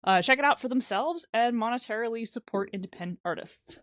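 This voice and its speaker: A woman's voice: a little high, animated, brisk, fairly bright tone, no echo, clean studio sound. A woman co-hosting a podcast in casual chat, hesitant and often correcting and qualifying what she says. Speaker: podcast co-host.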